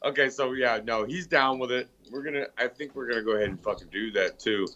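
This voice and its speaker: A man calling in to a casual chat, loud and playful, swearing often, heard over dull lo-fi audio. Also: mid-range voice, fast, slight background noise.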